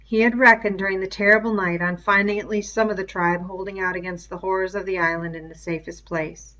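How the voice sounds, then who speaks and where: A woman's voice: mid-range voice, steady tone, brisk, bright, forceful tone, lo-fi sound. A woman reading a story aloud expressively, with explanatory asides. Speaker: reader.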